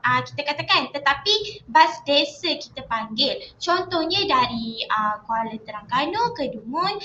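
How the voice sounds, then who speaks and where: A woman's voice: high voice, sharp tone. A woman hosting a podcast interview, chatty and friendly, repeating "okay, alright" between questions. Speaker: podcast host interviewing a guest. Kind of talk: casual chat interview. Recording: roomy dull lo-fi sound with faint noise.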